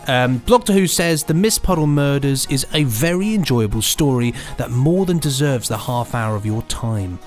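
A man's voice: mid-range voice, lively intonation, moderate pace, few pauses, darkish tone, soft background music. A man co-hosting a podcast, playful in chat and reading out reviews. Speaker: podcast host.

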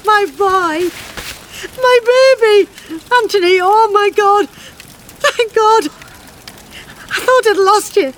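A woman's voice: extremely high pitch, slow, pauses often, fairly bright tone, slight background noise, exaggerated voice. An actress playing a middle-aged mother, anxious and grieving for her missing son, in an earnest audio drama style. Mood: overwhelmed, tearful relief. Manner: emotional, earnest. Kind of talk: audio drama acting with narrated monologue.

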